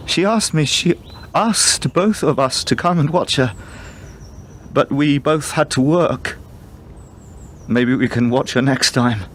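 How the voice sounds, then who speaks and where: A man plays a bereaved father in a radio drama, shaken and clinging to denial. He talks in halting, rambling fragments at a middling pitch, with some background noise on the recording. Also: a bit bright. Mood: numb denial. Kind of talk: radio play acting.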